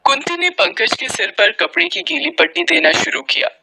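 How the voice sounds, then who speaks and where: A man narrating a scripted moral story as a storyteller, in a dramatic, expressive manner. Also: extremely high pitch, moderate pace, gravelly, noisy background.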